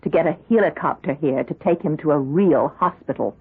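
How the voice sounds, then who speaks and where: A woman's voice: sits low, fairly quick, breathy edge, full character voice. An actress playing a level-headed, earnest woman doctor in an old-time radio drama. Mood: serious, measured. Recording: noisy narrowband old radio recording.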